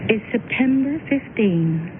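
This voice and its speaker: Matter-of-fact female confidante, gentle and deliberate, radio drama, thin noisy broadcast. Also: on the high side, lively intonation, narrow, tinny audio. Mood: solemn. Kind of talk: 1970s radio drama acting.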